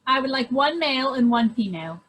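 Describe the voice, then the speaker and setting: A woman's voice: sits high, animated, brisk, fairly bright tone, low-quality recording. A woman playing a polite, well-to-do lady customer in an old-time radio comedy.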